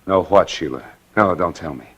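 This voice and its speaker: A stern surgeon and embittered husband speaks in a low voice. The delivery is clipped, controlled and dramatic, in the manner of a 1940s radio play. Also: male voice, normal speed, a bit bright, slightly rough. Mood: bitter, accusing. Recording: vintage radio broadcast.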